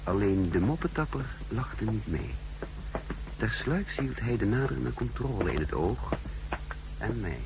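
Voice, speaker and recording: A cool, calculating spy telling his own story in a 1960s radio play. His low, gravelly voice moves expressively through measured, literary narration. The old recording hisses clearly. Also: very animated, heavily acted. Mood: cool, watchful. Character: cool, calculating male secret agent recounting his mission.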